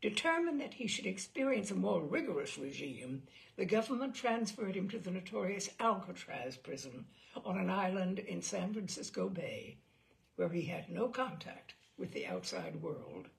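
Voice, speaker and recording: Creaky-voiced woman reading a story aloud. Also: medium pitch, big pitch swings, normal speed, low-quality recording. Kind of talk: scripted narration of true crime history, with occasional asides.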